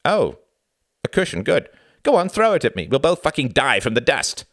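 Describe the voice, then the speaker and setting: A man's voice: medium pitch, wildly swooping pitch, brisk, vocal fry, fairly bright tone, dry recording, studio quality, pitched above the performer's own voice, full character voice. A man playing a sarcastic, furious young husband, ranting and condescending in acted audiobook dialogue. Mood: screaming, taunting. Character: enraged sarcastic husband, young graduate student in a failing marriage.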